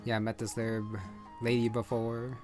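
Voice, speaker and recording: A man's voice: medium pitch, monotone, moderate pace, music in the background. A man giving casual, hesitant game playthrough commentary, rambling and thinking aloud as he goes. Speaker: gaming video creator.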